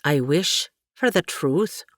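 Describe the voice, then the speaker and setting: A woman's voice: low-pitched, expressive, slow pace, dry recording, studio quality, heavily acted. A woman voicing a widowed aunt with an Irish accent, shrewd and stern yet kind. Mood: probing. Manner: probing, lilting Irish turns of speech.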